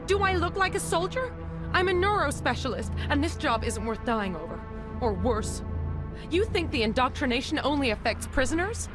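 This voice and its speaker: An actress playing an anxious, articulate research scientist looking out for herself in a video game. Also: female voice, high-pitched, sing-song, moderate pace, music in the background. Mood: frightened, pleading. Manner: nervous, pleading, articulate. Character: anxious, self-preserving female research scientist. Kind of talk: video game dialogue acting.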